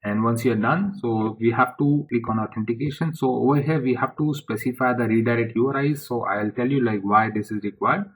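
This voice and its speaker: A man presenting a software tutorial step by step in an informal way, speaking haltingly in short phrases. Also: medium pitch, slight background noise, poor audio.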